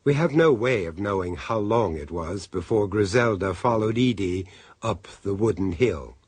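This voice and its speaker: A man narrating a horror radio drama in a measured, sly storytelling manner. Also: lowish voice, lively intonation, husky, no echo. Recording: faint hiss of old radio tape.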